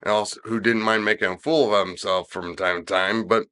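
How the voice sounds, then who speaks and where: A man's voice: lowish voice, steady tone, fairly quick, sharp tone, smooth. A middle-aged man with a slightly nasal, congested voice, chatting casually as a podcast host in a rambling, opinionated, colloquial way. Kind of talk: casual wrestling chat.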